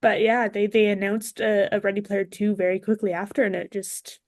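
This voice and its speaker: A woman with a mid-pitched voice chats casually as a podcast panelist. The sound is dry, with very little room in it. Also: little pitch movement, normal speed, a little mellow, dry recording. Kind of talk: casual chat.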